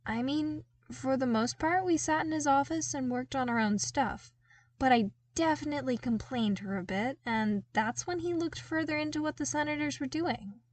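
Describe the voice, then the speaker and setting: A woman's voice: high-pitched, normal speed, no room sound, voice pushed up, lightly acted. A woman voicing an earnest, cheerful, slightly sheepish young man in an audiobook, chatty and artless. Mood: hesitant, sheepish. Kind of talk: audiobook character dialogue.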